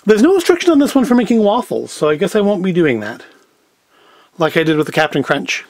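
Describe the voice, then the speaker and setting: A man's voice: high voice, very animated, fairly quick, darkish tone, no echo. A man hosting a homemade food video, rambling casually and wryly as he thinks aloud while cooking. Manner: wry, self-questioning, thinking aloud. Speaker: host of a food review video. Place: indoors at home, at a table and later in a kitchen.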